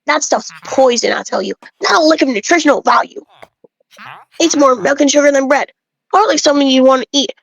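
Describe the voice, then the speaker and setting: A woman's voice: high-pitched, brisk, vocal fry, muffled recording. A woman reading aloud as an opinionated, folksy male bread enthusiast who rambles and grumbles through game dialogue. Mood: grumbling, rambling.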